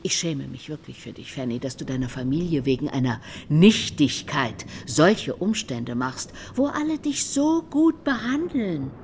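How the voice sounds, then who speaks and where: An actress playing a haughty older aunt in a radio drama, scolding reproachfully in a husky voice. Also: female voice, low voice, big pitch swings, a little slow, hardly any pauses, darkish tone, slight background noise, exaggerated voice. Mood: indignant. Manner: reproachful, emphatic.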